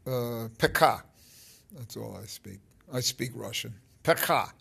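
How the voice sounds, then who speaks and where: A man in his fifties giving an informal spiritual talk to his phone, halting and rambling, with emphatic stresses and a gravelly voice. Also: mid-range voice, fairly bright tone. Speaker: spiritual teacher. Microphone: phone held close at arm's length.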